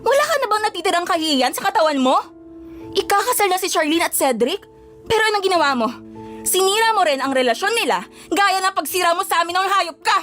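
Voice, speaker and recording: A woman's voice: very high-pitched, animated, quick pace, fairly bright tone, faint music behind. An actress playing a feisty, brash young Filipino woman with a blunt, slangy delivery in an audio drama. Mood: furious. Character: feisty, brash young woman, combative but loyal friend.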